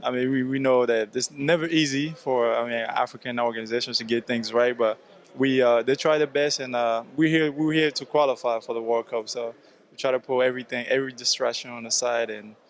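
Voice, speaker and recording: Male team captain from Ivory Coast with a gravelly edge who pauses and restarts as he talks. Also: mid-range voice, fairly even intonation. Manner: halting, many "I mean" fillers. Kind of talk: interview answers.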